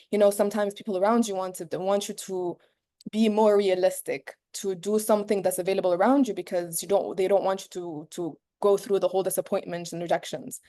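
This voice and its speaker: A woman's voice: medium pitch, mellow. A young woman from Egypt giving an earnest, casual talk over a video call, with many fillers and restarts. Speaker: guest speaker.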